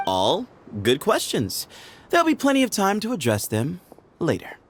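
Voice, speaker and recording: A man's voice: high-pitched, wildly swooping pitch, moderate pace, slight background noise. An actor playing a sly, teasing man who leads a hidden band in the desert, in a video game cutscene, with a playful, mocking manner. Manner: playful, mocking, sing-song.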